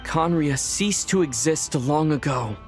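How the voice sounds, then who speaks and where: A young wandering hero from a fantasy game, earnest and wistful at heart. His voice is on the high side for a man and sincere, with music clearly playing beneath. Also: expressive, unhurried. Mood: guarded. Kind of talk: video game voice acting.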